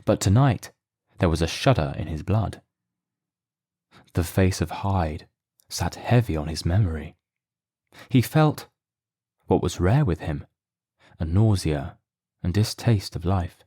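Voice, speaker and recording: A man narrating a mystery audio drama in a measured, solemn, literary manner. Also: low voice, animated, dark tone, no echo, clean studio sound.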